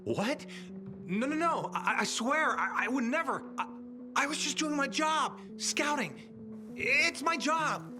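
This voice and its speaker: High-pitched, pleading, cornered scout. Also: male voice, sing-song, a little slow, over music, exaggerated voice. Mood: panicked pleading. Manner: stammering, pleading. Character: frightened, guilt-ridden male scout, pleading, cornered. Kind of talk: video game voice acting.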